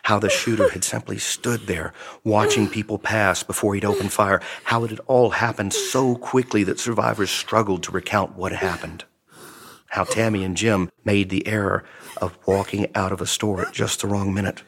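A man's voice: on the low side, steady tone, normal speed, vocal fry, slightly rough. A man reading scripted narration for a horror audio drama. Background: loud music.

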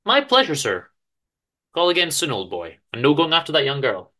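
A man's voice: on the high side, huge pitch swings, normal speed, sharp tone, heavily acted. A man voicing a cheerful, reassuring old chum who gives breezy advice in a dramatic story reading. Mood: jovial.

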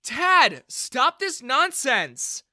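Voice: medium pitch, very animated, unhurried, bright, forceful tone, dry recording, clean studio sound, pitched above the performer's own voice. A narrator voicing an earnest, feisty and protective young woman, a loyal daughter, in an audio story. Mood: angry.

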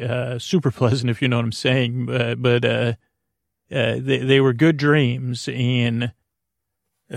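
A man's voice: mid-range voice, vocal fry, no echo, clean studio sound. A man hosting a sleep podcast in a rambling monologue, hesitant and meandering, often trailing off into tangents. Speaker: podcast host.

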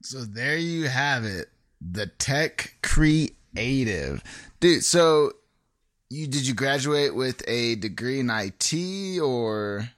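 A man's voice: mid-range voice, sing-song, takes it slowly, a bit bright, dry recording, clean studio sound. A man hosting a podcast interview in a casual, chummy way. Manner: informal, chummy, calls guest "dude" and "brother". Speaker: podcast host.

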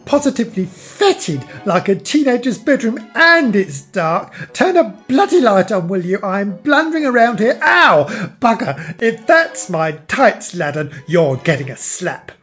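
A man's voice: sits high, big pitch swings, moderate pace, hardly any pauses, fairly bright tone, faint music behind, pitched above the performer's own voice. A man voicing an overbearing, blunt older mother who scolds and nags her grown son in a storybook reading. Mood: exasperated, scolding. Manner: brash, nagging, exclamatory.

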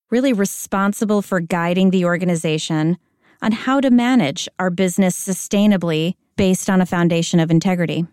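A middle-aged woman from Spain with an American accent, answering interview questions as a corporate executive in a measured, deliberate way. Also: mid-range voice, darkish tone, no room sound, clean studio sound. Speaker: corporate chief integrity officer.

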